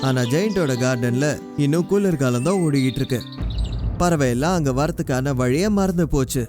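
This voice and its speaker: A man's voice: on the high side, a little fast. A man narrating a scripted children's story in a colloquial storytelling manner. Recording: music behind the voice.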